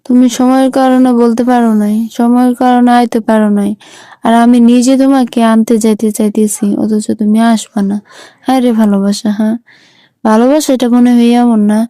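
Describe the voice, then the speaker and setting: Heartbroken young Bangladeshi sweetheart played by a woman, reproachful, mellow, very dry close sound, phone-call drama. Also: fairly high pitch, flat delivery, a little fast, no echo, natural voice. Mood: hurt, reproachful. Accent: Bangladeshi Bengali. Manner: reproachful, plaintive.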